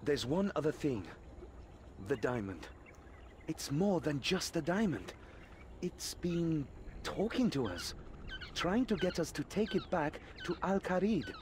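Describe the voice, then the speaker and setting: A man's voice: fairly high pitch, big pitch swings, unhurried, pauses often, a little mellow, slight background noise. A man playing an earnest, defensive young adventurer hero in a video game.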